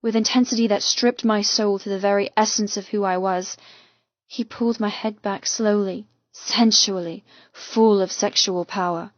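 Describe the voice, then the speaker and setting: A woman's voice: medium pitch, steady tone, normal speed, no echo, dull audio. Woman narrating romance, dry muted sound. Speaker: audiobook narrator. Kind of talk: dramatic first-person romance audiobook narration.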